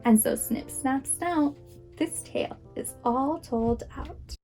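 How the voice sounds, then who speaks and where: A woman reading a children's story aloud as a preschool teacher would, with dramatic, deliberate expression. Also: high-pitched, dark tone. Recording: music behind the voice. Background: music.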